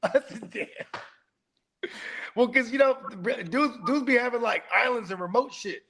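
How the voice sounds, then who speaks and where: A man's voice: very high-pitched, moderate pace, creaky, a bit bright. A man co-hosting a casual podcast, rambling with heavy slang, fillers and frequent laughter. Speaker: podcast co-host.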